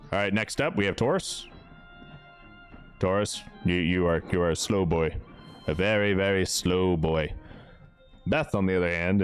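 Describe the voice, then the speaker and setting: A man with a deep, smooth voice giving casual live commentary as he plays a game, chatting away to his characters. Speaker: game streamer, host. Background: music.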